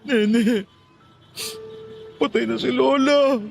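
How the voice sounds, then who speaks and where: A high-voiced Filipino husband in an emotional radio drama. He is heartbroken and devoted, speaking haltingly in a choked, anguished voice with faint music behind him. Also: steady tone, vocal fry, exaggerated voice.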